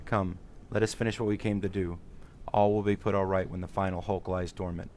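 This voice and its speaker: A man's voice: deep voice, level tone, fairly quick, a little mellow, a little hiss or hum, lightly acted. A man voicing an earnest, determined young female adventurer from a fantasy game, in formal, old-fashioned speech. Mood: resolute.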